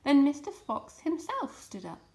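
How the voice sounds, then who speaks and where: High-voiced woman reading a children's story. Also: wildly swooping pitch, unhurried, darkish tone. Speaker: storytime reader.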